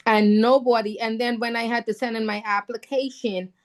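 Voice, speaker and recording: A woman whose first language is not English, telling a story with animated emphasis as a newly licensed counselor on a call. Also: on the high side, moderate pace. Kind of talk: casual chat on a video call.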